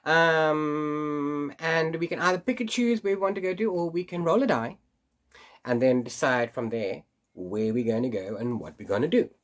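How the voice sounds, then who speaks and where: A man's voice: on the high side, moderate pace. A man who hosts a book channel, chatting casually to camera in a rambling way, full of restarts and little laughs, while congested and sniffly from hay fever. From South Africa. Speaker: book vlogger.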